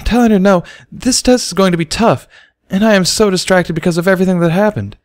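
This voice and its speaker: A man voicing an excitable, panicky teenage unicorn mare in a dramatic storybook reading. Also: sits high, quick pace, voice pushed up, close to an everyday voice. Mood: anxious.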